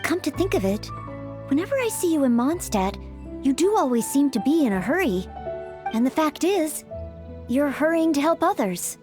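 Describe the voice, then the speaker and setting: Game role: young maid aspiring to knighthood; high, animated, gentle, formal, eager to please. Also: female voice, moderate pace, faint music behind. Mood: earnest. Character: earnest, polite young maid training to be a knight. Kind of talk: video game voice acting.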